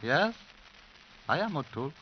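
An actor playing a shrewd, suave crime boss, deliberately polite and faintly menacing, in a vintage radio drama. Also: male voice, fairly high pitch, sing-song. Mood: suavely polite. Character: suave, shrewd Chinese-Irish crime boss in a border club. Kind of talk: 1950s radio drama acting. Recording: dull, noisy vintage radio recording.

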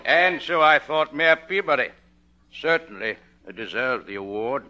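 Very bright male voice, lieutenant governor, formal speechmaking, dignified, mid pitch, 1950s radio acting. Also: animated, measured pace, highs cut off. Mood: stately. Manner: formal, oratorical.

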